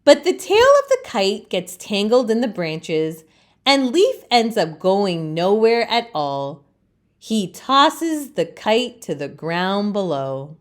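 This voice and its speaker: A woman reading a children's storybook aloud as a storytime host, with expressive narration. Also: medium pitch, slow pace.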